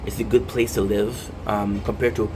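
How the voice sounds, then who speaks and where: A man's voice: fairly low pitch, flat delivery, moderate pace, noisy background. A French Canadian man with a Québécois French accent, talking casually and ramblingly while streaming a game, with many fillers and restarts. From Quebec, Canada. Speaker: gaming streamer.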